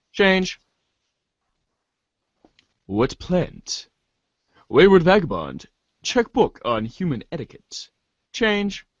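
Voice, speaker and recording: Lively, higher-pitched man reading theatrically. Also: no echo, lo-fi sound. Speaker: narrator reading a webcomic aloud. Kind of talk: amateur dramatic reading of narration.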